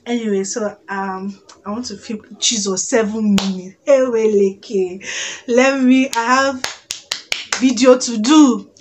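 A Nigerian woman in her thirties chatting casually to camera in an expressive, exclamatory storytelling way, recorded on a phone in a room at home. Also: fairly high pitch, a little slow, a little hiss or hum. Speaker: vlogger. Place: small indoor room at home.

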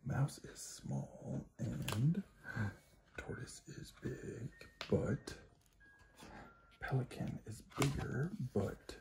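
A man reading a picture book to a small child at bedtime in a gentle, often hushed sing-song, recorded on a phone in a room at home. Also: mid-range voice, lively intonation, drawn-out words, vocal fry. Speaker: parent reading to a toddler. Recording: noisy home phone video with clicks.

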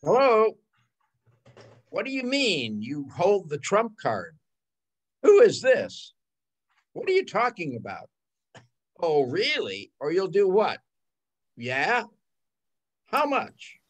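A man's voice: sits high, huge pitch swings, slow, pauses often, heavily acted. An older man playing a shady, tough-talking cheating husband in an old-time radio crime drama, recorded on a video call. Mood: defensive. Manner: slangy tough-guy talk. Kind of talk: 1940s radio drama acting. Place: home room, video call. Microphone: computer mic on a video call.

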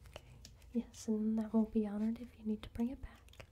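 A woman doing an ASMR roleplay as a cashier, talking softly and mostly in whispers, with friendly counter small talk, recorded at a table indoors. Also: a little high, flat delivery, a little fast, a little hiss or hum. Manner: soft-spoken, mostly whispering. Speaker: ASMR creator playing a cashier. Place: indoor room at a wooden table.

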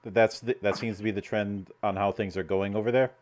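Low-voiced male interview host. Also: fairly even intonation, a little fast, darkish tone. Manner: rambling questions with fillers. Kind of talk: casual interview over a call.

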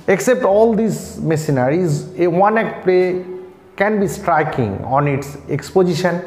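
A male lecturer with an Indian Bengali accent speaks in a fairly high voice at a very slow pace. His delivery is formal and deliberate, with halting phrasing, as in a scripted lesson. The room adds a clear echo around his words. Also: expressive, darkish tone. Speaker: English literature lecturer. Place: small bare-walled indoor room. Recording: echoey room.

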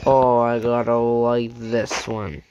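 A gaming channel host giving a casual video game tour, thinking aloud in an exclamatory, animated way. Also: mid-range voice, takes it slowly, dull audio, background noise.

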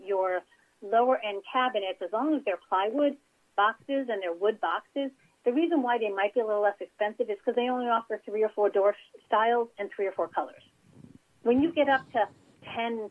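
A woman's voice: mid-range voice, brisk. A woman interior designer giving chatty, practical advice as a guest in an interview. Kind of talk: casual interview over phone. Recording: tinny phone line.

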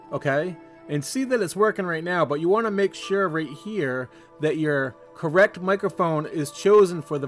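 Higher-voiced man giving a casual tutorial. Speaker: tutorial video host. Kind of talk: casual step-by-step screen tutorial.

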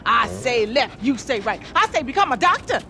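An actress playing a scornful, sharp-tongued middle-aged aunt in a video game, scolding as she talks. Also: on the high side, sing-song, moderate pace, gravelly, slight background noise, full character voice.

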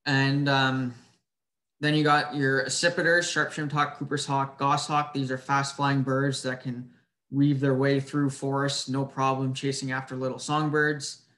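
A man giving an informal slide presentation over a video call, in a somewhat halting, hesitant way. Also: medium pitch, little pitch movement, bright, forceful tone. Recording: roomy echo on webinar audio.

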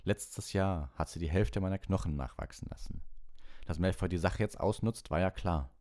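A man's voice: sits low, moderate pace, darkish tone, pitched above the performer's own voice, lightly acted. A man voicing a resolute, blunt and loyal schoolboy in a storybook, with a decided, straightforward manner.

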